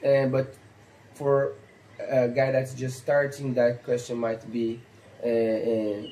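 A man in his thirties with a Brazilian accent, a stock dog trainer explaining training basics in halting, earnest English, seated on an outdoor patio. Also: mid-range voice, monotone, warm tone, roomy sound, slight background noise, low-quality recording. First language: Portuguese. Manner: halting, earnest, non-native phrasing with fillers. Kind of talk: instructional talk to camera.

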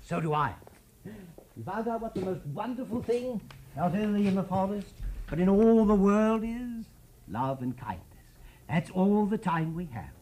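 A man hosting as storyteller, reading a children's storybook expressively with theatrical, dramatic pauses. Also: sits high, lively intonation, darkish tone, rough recording. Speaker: storyteller, TV host.

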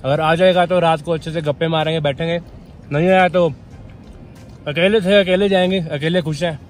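A man's voice: on the high side, steady tone, moderate pace, soft background music. A young man chatting casually and informally to camera as a solo travel vlogger, outdoors. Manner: informal, bro-peppered talk. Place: outdoors at a mountain campsite.

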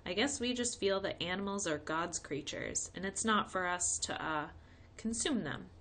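A woman voicing a mild, amused, reasonable father in a storybook, measured and polite. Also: on the low side, fairly bright tone, a little hiss or hum. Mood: gently explaining.

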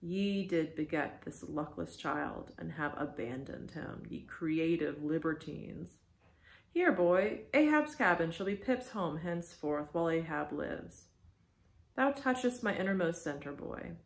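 A woman's voice: deep voice, animated, unhurried, creaky, exaggerated voice. A woman voicing a brooding, half-mad old male whaling captain with archaic, declamatory speech. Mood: impassioned, tender. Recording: echoey room.

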